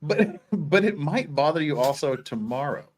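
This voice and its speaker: A man hosting a casual comic read-through, a little halting, slipping between reading aloud and jokey asides. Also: a little high, animated, a little hiss or hum. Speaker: host.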